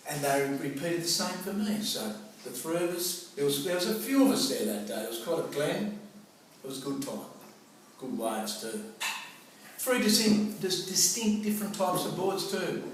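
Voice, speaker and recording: Middle aged aussie bloke spinning a yarn, theatrical and slangy, really echoey and a bit far from the mic. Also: male voice, on the high side, lively intonation, moderate pace. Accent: Australian. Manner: colloquial, theatrical, acts out remembered dialogue. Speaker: storyteller. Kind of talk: animated personal anecdote storytelling. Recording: roomy, echoing, noisy distant microphone.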